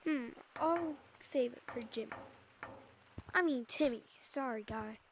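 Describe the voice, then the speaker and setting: A woman's voice: high voice, slow, slight background noise. A woman playing a VR game, chatting playfully to herself with sighs as she roleplays a shopping trip. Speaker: VR game player. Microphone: VR headset mic.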